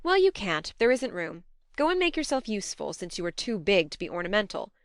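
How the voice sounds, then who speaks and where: A woman voicing a blunt, affectionate young woman, a bossy elder sister in a storybook, with a brisk manner. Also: mid-range voice, big pitch swings, dry recording, lightly acted. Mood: bossy teasing.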